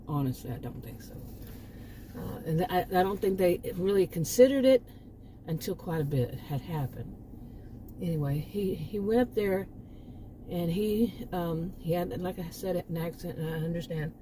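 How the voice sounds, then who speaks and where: A woman's voice: lowish voice, dark tone, slight background noise. Rambling Texan woman talking casually. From Texas. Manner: rambling, many restarts and asides. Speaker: channel host. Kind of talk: casual monologue.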